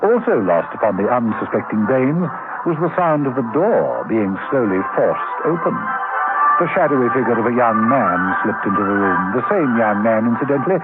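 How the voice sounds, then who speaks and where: A male narrator tells a radio drama with wry theatricality and lively swings in pitch. The vintage recording is tinny, with loud music behind him.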